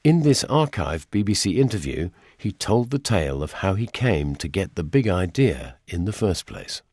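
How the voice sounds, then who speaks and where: A man's voice: sits low, sing-song, normal speed, a little mellow, breathy edge, dry recording, studio quality. A man narrating and reading from a script for a radio documentary. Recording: music behind the voice.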